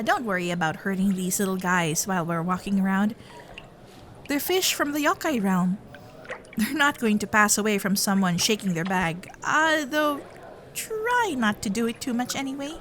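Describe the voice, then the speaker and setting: Woman acting a teasing kitsune girlfriend, lively and unhurried. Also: medium pitch. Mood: sheepish, cheerful.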